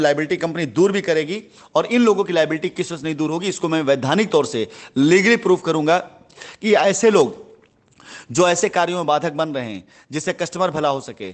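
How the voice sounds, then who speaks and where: A man's voice: a little high, fairly quick. A man delivering an emphatic, indignant voice note announcement in formal, speech-like phrases, repeating words for stress. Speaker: company head addressing customers.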